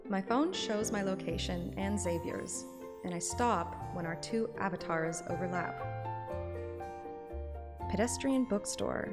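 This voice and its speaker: A woman's voice: mid-range voice, unhurried, long pauses, lightly acted. Woman reading as playful young heroine over music. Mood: reflective. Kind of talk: dramatic reading of game text. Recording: music behind the voice.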